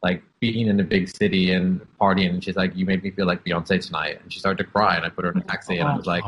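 A man's voice: low voice, little pitch movement, fast talker, a bit bright, a little hiss or hum, rough recording. A man in his thirties from Canada, speaking as an interview guest and telling his life story in an open, reflective way with many "um"s and "like"s. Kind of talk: candid personal storytelling.

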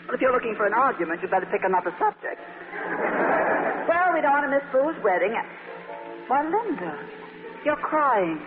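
A man's voice: extremely high pitch, very animated, normal speed, long pauses, loud music behind the voice. An actor playing a sardonic, teasing magazine staff man in 1940s radio drama, with a dry manner. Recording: tinny, band-limited old radio broadcast.

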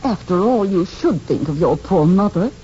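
A woman's voice: on the low side, lively intonation, normal speed, mellow, natural voice. An actress playing a self-centred, fussy, domineering middle-aged mother in a 1940s radio drama, gushing and dramatic. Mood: gushing reproach. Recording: muffled, noisy 1940s radio recording.

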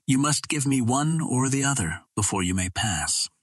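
A man's voice: mid-range voice, animated, moderate pace, dry recording, studio quality, pitched above the performer's own voice, lightly acted. A man voicing a stern, defiant palace guardsman in an adventure audiobook, formal and challenging. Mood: stern, threatening.

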